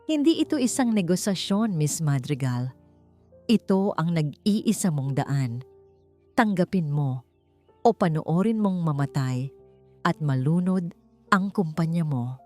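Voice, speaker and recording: A woman voicing a cold, stern male businessman in an audiobook, speaking slowly and flatly. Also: low voice, soft background music.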